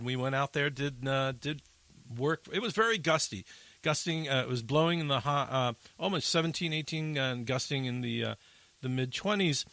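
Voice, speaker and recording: A man's voice: mid-range voice, a bit bright, no room sound. A man hosting an aviation talk podcast, telling a story in a rambling, joking way with many fillers. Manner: rambling, jokey, lots of fillers. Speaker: podcast host and pilot. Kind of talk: casual radio chat.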